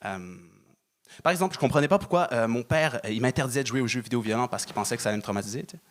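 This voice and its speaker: A young man doing stand-up on a club stage, deadpan, stalling with fillers. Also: mid-range voice. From Moroccan family. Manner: deadpan, halting with many fillers. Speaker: stand-up comedian. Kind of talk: stand-up set.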